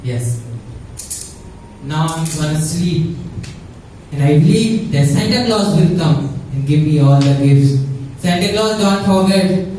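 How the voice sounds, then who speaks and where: Performer as naive, gift-hungry young Santa believer, unhurried, distant mic. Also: mid-range voice, expressive, warm tone. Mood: eager, pleading. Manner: simple, emphatic, repeats phrases. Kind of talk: live church puppet show acting. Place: church Christmas program in a hall. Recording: echoey hall, distant mic, faint noise.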